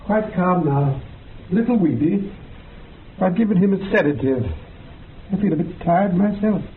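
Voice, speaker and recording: An actor playing a weary, calm man in a radio drama, on a muffled recording. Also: high-pitched, a little slow. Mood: tired, calm. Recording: muffled phone-like sound with faint hiss.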